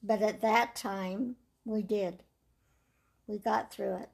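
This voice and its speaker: An older woman with a raspy voice, telling her life story slowly and reflectively in an interview. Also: medium pitch, steady tone. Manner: slow, measured storytelling with soft trailing phrases.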